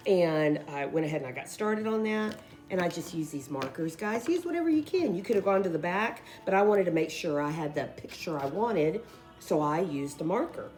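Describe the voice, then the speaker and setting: A woman who is losing her voice, hoarsely chatting her way through a casual craft tutorial in a rambling, informal way. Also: on the low side, animated, normal speed, darkish tone, faint music behind. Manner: rambling, informal, addresses viewers as guys. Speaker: craft video host.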